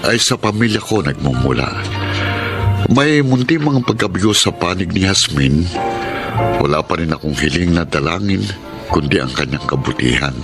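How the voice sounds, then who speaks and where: An actor playing an earnest middle-aged Filipino father who gives gentle, measured counsel in a radio drama. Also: deep voice, animated. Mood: solemn, proud. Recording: music bed under the voice. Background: dramatic background music.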